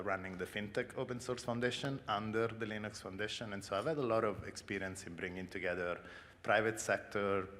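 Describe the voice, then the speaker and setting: Italian-accented man, very low voice, panel speaker, long reverb of a big hall. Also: a little hiss or hum. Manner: relaxed, self-deprecating, many fillers. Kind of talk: conference panel introduction. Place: large conference hall.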